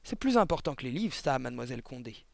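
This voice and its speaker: A man's voice: medium pitch, big pitch swings, fast talker, gravelly, no echo. A man voicing a vulgar, irritable housewife in a storybook, with snappy, disdainful, slangy delivery. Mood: scornful.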